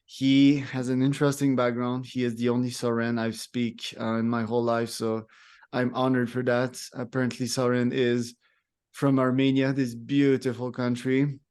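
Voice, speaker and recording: A man's voice: medium pitch, level tone, moderate pace, a little mellow, smooth. A man hosting a podcast interview, talking in a loose, rambling way with fillers. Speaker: podcast host. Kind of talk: casual interview talk.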